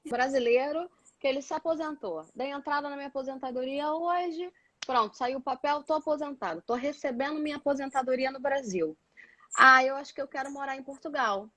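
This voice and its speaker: A woman's voice: high-pitched, a little fast, pushed and bright, dry recording. A Brazilian woman chatting casually and explaining things as a guest, repeating words for emphasis. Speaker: guest, doctoral student living in Portugal.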